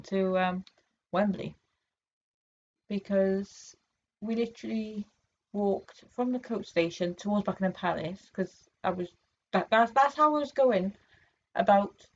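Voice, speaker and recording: A woman from England talks casually to her camera, her voice a little hoarse from a sore throat and pitched on the low side. She rambles, stops and restarts, filling gaps with ums, and the home recording sounds dull and lo-fi. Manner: rambling, many fillers and restarts. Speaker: vlogger.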